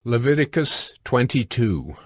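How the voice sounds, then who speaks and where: A man narrating scripture from a script in a formal, deliberate manner. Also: medium pitch, big pitch swings, no echo, clean studio sound. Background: music.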